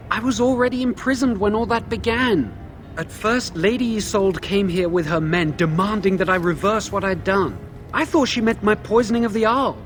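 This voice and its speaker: An actor playing an anxious, guilt-ridden young mage prisoner in a fantasy video game, earnest and pleading. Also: male voice, high-pitched, a bit bright. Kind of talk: dramatic fantasy game dialogue.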